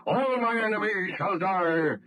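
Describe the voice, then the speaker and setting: An actor playing a murderous, remorseless monster in an old-time radio horror play, speaking in slow, declamatory, archaic phrases. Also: male voice, high voice, a crowd in the background. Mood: menacing triumph. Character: vengeful murderous male monster, grandly menacing and remorseless. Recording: noisy vintage radio drama recording.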